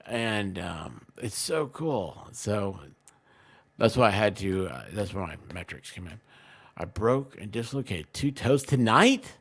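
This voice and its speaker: Male, bright and forceful, lively pitch swings. Casual, joking livestream co-host banter. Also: measured pace.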